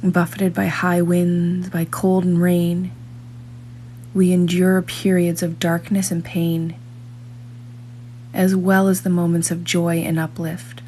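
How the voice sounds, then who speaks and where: A woman reading a scripted guided meditation in a calm, measured manner, leaving long pauses between phrases. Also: on the low side, a little hiss or hum.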